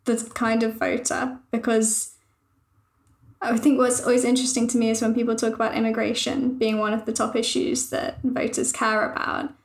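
A young adult woman, a journalist on a news podcast, explaining things in a measured, thoughtful way. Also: fairly high pitch, level tone. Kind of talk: podcast discussion.

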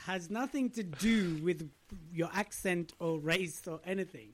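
Man, high voice, mocking co-host, laughs a lot, teasing banter. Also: unhurried, crowd noise. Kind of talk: casual banter.

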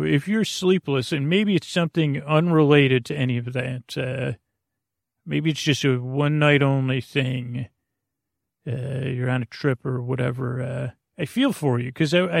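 A man with a creaky voice hosting a podcast for the sleepless, talking in a casual, meandering, halting monologue with a goofy, whimsical streak. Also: mid-range voice, no room sound, studio quality. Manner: meandering, halting, stop-and-start. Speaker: podcast host.

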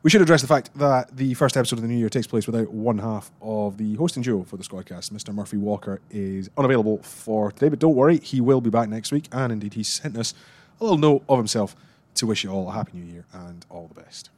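A man hosting a casual sports podcast, brisk and upbeat. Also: on the low side, darkish tone. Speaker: podcast host.

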